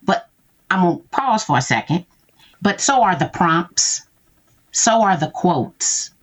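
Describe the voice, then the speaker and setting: A woman's voice: lowish voice, very animated, unhurried. A woman guest talking through how she uses tools in her business, checking in often with "right?". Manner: explains with frequent "right?" check-ins. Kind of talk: conversational interview.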